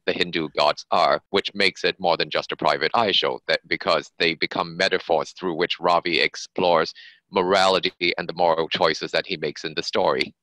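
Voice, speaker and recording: A man's voice: low voice, sharp tone, no room sound, low-quality recording. A man speaking as an author and interview guest, explaining his work conversationally in long flowing sentences.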